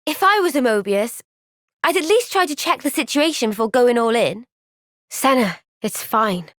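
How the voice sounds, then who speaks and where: An actress voicing a young woman soldier in a game cutscene, soft-spoken and caring. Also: fairly high pitch, animated, moderate pace, no echo, studio quality, natural voice.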